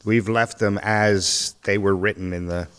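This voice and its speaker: A man from a radio theater troupe, explaining old radio history in a measured, steady way. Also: fairly low pitch, smooth. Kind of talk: casual interview talk. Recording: long reverb.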